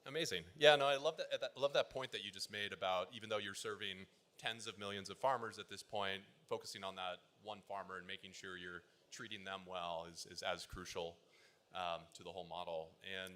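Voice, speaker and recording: A man's voice: low voice, fairly even intonation, brisk, bright, forceful tone. A man moderating a panel in a conference hall, upbeat and encouraging, with fillers and restarts.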